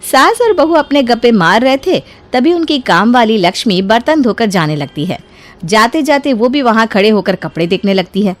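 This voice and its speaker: A woman's voice: a little high, expressive, normal speed. A woman working as narrator, reading a scripted story in a storytelling style.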